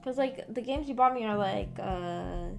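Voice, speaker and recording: A woman's voice: mid-range voice, normal speed, vocal fry. A woman streamer chatting casually to viewers in a rambling, self-conscious, giggly way. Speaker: livestream host. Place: at home in her room. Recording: music playing behind the voice. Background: background music.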